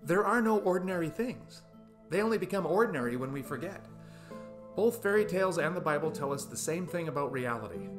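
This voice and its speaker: A man's voice: fairly high pitch, lively intonation, fairly quick, soft background music. A man in his fifties giving a scripted, essay-like talk to camera, asking rhetorical questions with playful emphasis. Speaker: video essayist. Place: small room at home, indoors.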